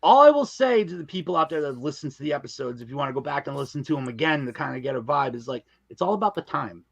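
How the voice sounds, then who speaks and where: A man's voice: fairly high pitch, expressive, fast, vocal fry, slightly rough, no echo, dull audio. A man chatting casually as a podcast guest about his creative work, speaking emphatically with slangy wording and repeated phrases. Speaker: guest, audio drama creator and narrator. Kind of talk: casual podcast interview chat.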